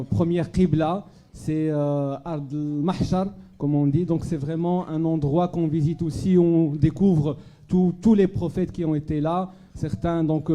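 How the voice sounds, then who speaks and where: Man from Morocco, fairly high voice, very dark and mellow tone, halting gala speech to a crowd. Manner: hesitant, many fillers and restarts, audience questions. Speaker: charity speaker at a fundraising gala. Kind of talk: public speech to a live audience. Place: banquet hall at a gala dinner.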